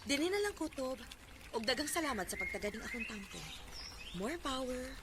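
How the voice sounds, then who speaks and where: A woman's voice: sits high, very animated, a little fast. A woman with a Cebuano Filipino accent acting a hurt, neglected young girlfriend in a radio drama. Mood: sad, resigned. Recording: noisy radio drama recording.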